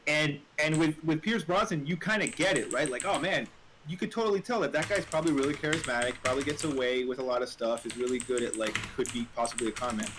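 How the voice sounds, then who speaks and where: A man's voice: medium pitch, fast talker. Man chatting casually over noisy keyboard clatter. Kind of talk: casual chat, opinionated film talk.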